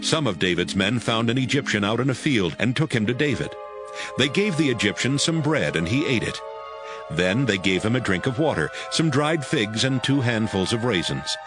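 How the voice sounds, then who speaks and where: A man narrating dramatized scripture from a script, in a clearly phrased storytelling manner. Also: mid-range voice, very animated, moderate pace. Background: music.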